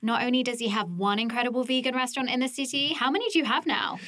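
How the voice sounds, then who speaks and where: A woman's voice: on the high side, steady tone, fast talker, fairly bright tone, no echo, studio quality. A woman in her thirties co-hosting a podcast, upbeat and enthusiastic in her introductions. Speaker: podcast co-host. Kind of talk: casual interview chat. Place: small padded podcast studio. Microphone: boom mic close.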